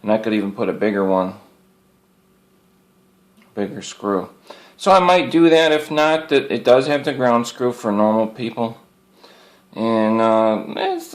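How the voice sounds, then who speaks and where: A man giving a casual, rambling product show-and-tell in a folksy, plainspoken way, often repeating his phrases. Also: medium pitch. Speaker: hobbyist presenter showing radio antenna gear.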